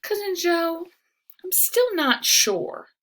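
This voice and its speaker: A woman's voice: very high-pitched, very animated, takes it slowly, fairly bright tone, voice pushed up, full character voice. A woman voicing an unsure, eager little boy who wonders and questions in a storybook read-aloud.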